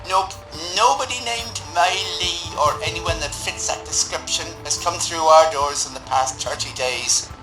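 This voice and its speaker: A man's voice: a little high, a little slow, hardly any pauses, roomy sound, over music, low-quality recording. A man playing a cheeky morgue clerk in an audio drama, full of teasing, matey banter. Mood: obliging. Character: cheeky male morgue clerk, an old friend.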